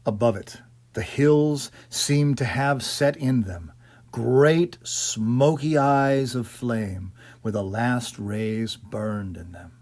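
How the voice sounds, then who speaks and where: A man reading a ghost story as scripted dramatic narration, in a theatrical, measured storytelling manner. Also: mid-range voice, darkish tone. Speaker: audiobook narrator.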